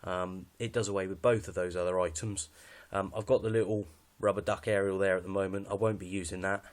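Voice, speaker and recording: Low-pitched British man, casual gear walkthrough. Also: level tone, fairly quick. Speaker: hobbyist presenter showing radio kit.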